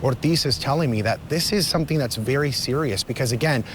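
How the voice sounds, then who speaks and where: A man's voice: mid-range voice, fast talker. Guy doing a live tv news report outside, formal and emphatic, some traffic noise behind him. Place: outdoors on a city street at evening.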